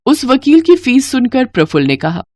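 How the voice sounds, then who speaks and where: A woman's voice: high voice, sing-song, normal speed, a little mellow, no room sound, studio quality. A woman storyteller reading scripted narration for a moral storybook tale.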